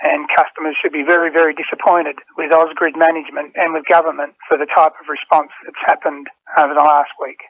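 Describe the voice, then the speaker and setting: A man speaks as a union official on a narrow phone line. His voice is fairly high and quite monotone. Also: a little fast, hardly any pauses, dry recording. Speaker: union secretary. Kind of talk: spoken statement to media.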